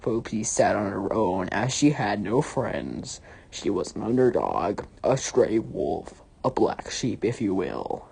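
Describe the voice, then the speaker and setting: A woman narrating a story in a mock-dramatic style, with deliberate, theatrical phrasing. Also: very deep voice, sing-song, gravelly, mellow, slightly rough. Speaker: story reader.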